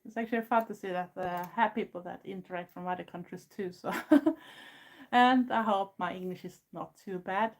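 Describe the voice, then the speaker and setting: A woman's voice: medium pitch, moderate pace. A woman in her fifties with a Swedish accent, a native Swedish speaker, chatting casually and frankly with viewers on a livestream in plain, earnest second-language English. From Sweden. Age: 50s. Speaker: goth YouTuber hosting a livestream. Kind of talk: casual live chat answering viewers.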